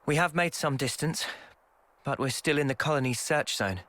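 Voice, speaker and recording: A man playing a calm, earnest young squad leader in a video game, measured and conciliatory. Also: fairly high pitch, no echo, natural voice. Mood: calm, practical.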